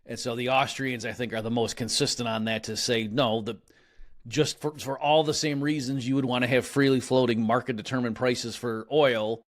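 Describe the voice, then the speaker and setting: A man's voice: medium pitch, fairly even intonation, moderate pace. Chatty male economist explaining at length. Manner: conversational, many restarts and fillers, lecturing. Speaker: economist guest. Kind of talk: casual interview explanation.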